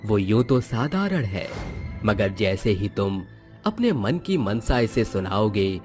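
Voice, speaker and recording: Man as calm divine male voice for a moral storybook, majestic and solemn, unhurried, over music. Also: fairly low pitch. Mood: solemn, reassuring. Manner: slow, solemn, reassuring. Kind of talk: Hindi moral storybook acting.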